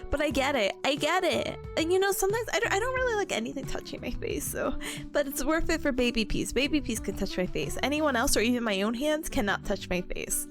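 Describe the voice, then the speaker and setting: Bubbly high-pitched young woman, voice worn. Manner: bubbly, giggly, greets viewers by name. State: voice partly gone. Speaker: streamer hosting a study stream. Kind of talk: casual chat reading viewer messages.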